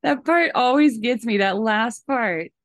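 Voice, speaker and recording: A woman's voice: fairly high pitch, lively intonation, unhurried, dry recording, clean studio sound. A woman co-hosting a podcast, giving casual and disapproving commentary. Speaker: podcast host.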